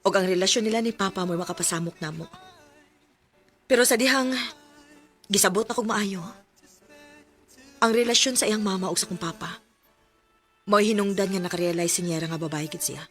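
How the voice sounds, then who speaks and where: A woman's voice: medium pitch, brisk, long pauses, close to an everyday voice. A woman acting an anguished, lovesick young woman telling her story in a sentimental radio drama. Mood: sorrowful, resigned. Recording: music bed under voice. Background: music.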